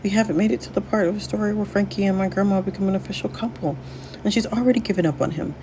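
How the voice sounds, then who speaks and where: A woman's voice: medium pitch, rapid-fire, mellow, a little hiss or hum, natural voice. A woman voicing a worried, pensive young granddaughter who meddles as a matchmaker in a story. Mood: exasperated.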